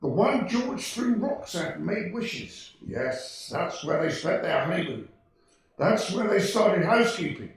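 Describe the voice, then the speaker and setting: Radio play celestial narrator, a man acting, authoritative storyteller with swooping, high voice, far from the mic in heavy reverberation. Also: lively intonation, unhurried. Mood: knowing, storytelling. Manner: instructive, storytelling. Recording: distant, very echoey stage hall.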